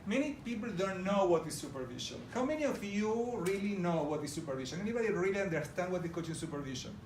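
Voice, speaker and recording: A man's voice: high voice, animated, quick pace. A middle-aged man from Argentina, with an Argentine Spanish accent, gives an enthusiastic presentation to a live audience and talks to them directly. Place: large room with an audience. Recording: roomy, distant audio with background noise.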